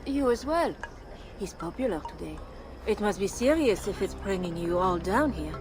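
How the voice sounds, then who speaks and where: A woman's voice: mid-range voice, expressive, moderate pace, a little mellow, lightly acted. An actress playing a plainspoken, cautious townswoman in a video game, matter-of-fact in manner. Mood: guarded. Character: plainspoken, cautious local townswoman in a plague district. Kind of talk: video game character acting. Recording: music behind the voice.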